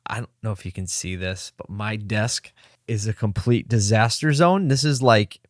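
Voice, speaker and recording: A man hosting a solo podcast from his office desk, slightly hoarse and low on energy, talking in a rambling, candid way and pepping himself up as he goes. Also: moderate pace, no room sound, studio quality. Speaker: podcast host. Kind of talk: casual solo podcast talk.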